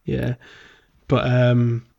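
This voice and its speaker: A man's voice: medium pitch, monotone, very slow, creaky. A man hosting a podcast, talking casually through a video game playthrough. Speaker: podcast host. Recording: dull, slightly lo-fi audio.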